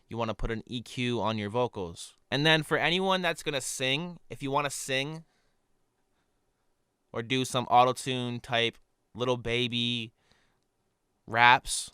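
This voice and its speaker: A man with a nasal voice who hosts a casual software tutorial in a relaxed, loose way, with fillers and offhand asides. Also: mid-range voice, smooth, dry recording, clean studio sound. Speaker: audio tutorial host. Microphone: studio condenser microphone.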